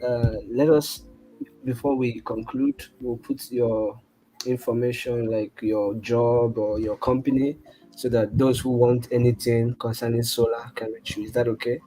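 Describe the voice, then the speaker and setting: A man with a Nigerian accent hosting a casual online interview, relaxed and chatty, with many short acknowledgements. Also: medium pitch, measured pace, faint music behind, poor audio. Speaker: livestream host.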